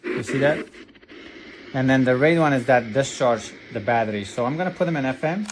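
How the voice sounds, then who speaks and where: A man's voice: mid-range voice, a little fast, slight background noise. A man reviewing a product in a casual, hands-on way, explaining step by step with some hesitation. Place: indoors at a desk.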